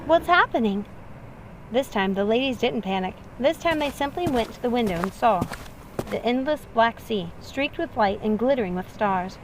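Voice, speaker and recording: Higher-voiced woman reading a storybook. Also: lively intonation, moderate pace, noisy background. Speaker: audiobook narrator. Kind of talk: expressive storybook narration.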